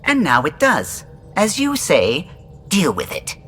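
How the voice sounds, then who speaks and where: Firm female engineer, deep for a woman, lively intonation, curt, technical, video game. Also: takes it slowly, soft background music, full character voice. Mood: defiant. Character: firm, exacting female starship engineer in a dispute.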